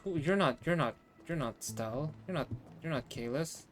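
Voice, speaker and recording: A woman's voice: low-pitched, expressive, moderate pace. A woman streamer giving casual reaction commentary while playing a game. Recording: music faintly behind the voice. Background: game music.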